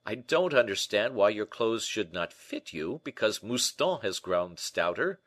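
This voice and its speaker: A man voicing a shrewd, brisk gentleman in an audiobook, animated, questioning and a little impatient. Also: on the low side, dry recording, clean studio sound, exaggerated voice. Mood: mildly impatient. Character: D'Artagnan, brisk, shrewd, exclaiming gentleman friend. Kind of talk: audiobook character acting.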